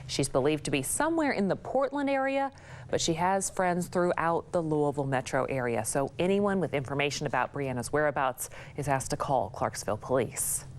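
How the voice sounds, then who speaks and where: A woman's voice: low voice, moderate pace. A woman anchor reading local news briefs with an inflected delivery. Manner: lively, inflected newsreader delivery.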